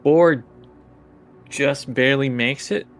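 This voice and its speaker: Gravelly man thinking aloud as game master. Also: on the low side, steady tone, measured pace, fairly bright tone, faint music behind. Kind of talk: improvised tabletop game narration and rules talk.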